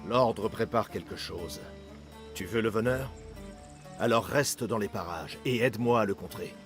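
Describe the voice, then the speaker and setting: A man plays a stern, secretive older assassin from a video game. His voice is fairly deep, his delivery terse and measured. Light music plays underneath. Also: close to an everyday voice. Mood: guarded, commanding. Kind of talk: dubbed video game dialogue.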